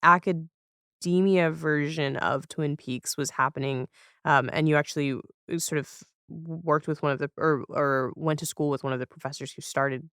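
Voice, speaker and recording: A woman's voice: low-pitched, steady tone, moderate pace, fairly bright tone, clean tone, no echo, studio quality. A woman hosting a casual interview, phrasing things tentatively and rewording as she goes. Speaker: podcast host, interviewer.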